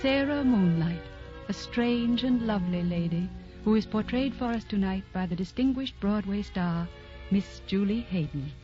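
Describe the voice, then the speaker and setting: An actress playing the spirit of romance, narrating an old radio drama in a lyrical, intimate, dramatic manner. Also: female voice, medium pitch, moderate pace, natural voice. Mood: presenting. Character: Romance personified, dreamy, tender female storyteller. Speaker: narrator and host. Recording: dull vintage radio recording with music.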